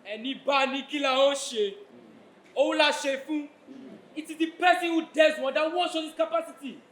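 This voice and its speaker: A very high male voice carries in an echoey theatre. An actor plays an ambitious, cunning chief who emphatically urges his ruler on, in stage-play style. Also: normal speed, fairly bright tone. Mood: urging. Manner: proverb-laden, emphatic. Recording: echoey stage, faint noise.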